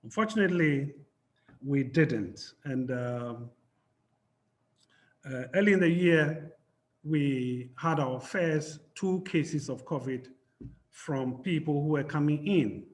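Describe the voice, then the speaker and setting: A man from Ghana with a Ghanaian accent, giving a measured, explanatory academic panel talk over a video call. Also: a little high. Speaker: panel speaker. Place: home in Ghana.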